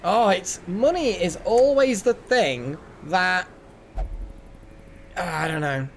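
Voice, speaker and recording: Male game streamer, slightly high voice, casual rambling chat, thinking aloud, faint noise behind. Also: expressive, takes it slowly. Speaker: game livestream host. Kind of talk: casual live gaming chat.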